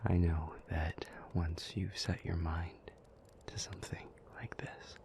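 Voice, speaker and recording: A man playing a weary, anguished demon in an intimate audio roleplay, pleading haltingly in a breathy near-whisper. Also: deep voice, little pitch movement, heavily acted. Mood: weary, resigned. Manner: halting, slow, hushed delivery with long pauses.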